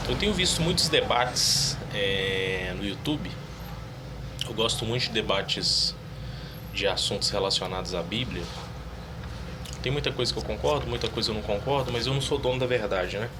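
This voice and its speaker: A man's voice: medium pitch, fairly quick, background noise. A man giving his opinion in a casual monologue, earnestly reading Bible verses aloud. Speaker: religious video creator.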